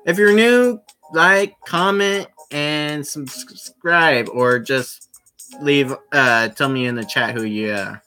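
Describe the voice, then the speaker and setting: Bright-voiced streamer, slow and halting. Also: mid-range voice, faint music behind. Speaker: streamer playing a visual novel. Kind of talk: casual livestream commentary with read-aloud.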